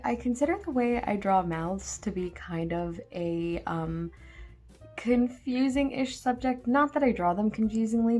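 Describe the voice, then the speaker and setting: A young woman, a bit hoarse after just waking up, talking casually through a drawing tutorial with lots of fillers. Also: fairly low pitch, a little mellow. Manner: rambling, filler-heavy, self-deprecating. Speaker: art channel host. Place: at a desk, filming her drawing from overhead.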